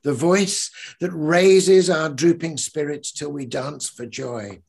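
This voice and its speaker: Husky older man on a video call. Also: fairly high pitch, slow pace, darkish tone. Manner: earnest, with many restarts in talk and swelling emphasis when reading. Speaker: poet. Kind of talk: discussion and reading his poem aloud. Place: home living room.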